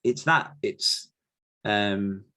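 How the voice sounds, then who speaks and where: A man with a fairly low voice talks in a relaxed interview setting. He is reflective about his craft, stopping and restarting as he feels his way toward the right phrase. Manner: thoughtful, halting, searching for words. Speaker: podcast guest author answering questions. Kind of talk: casual reflective interview talk.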